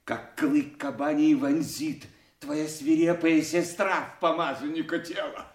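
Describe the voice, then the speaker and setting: A man's voice: fairly high pitch, steady tone, a little slow, lightly acted. An actor playing an old captive nobleman, anguished but defiant, declaiming in a classical audio tragedy. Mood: bitter, rising outrage. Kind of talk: classical stage tragedy acting. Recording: echoey radio play studio.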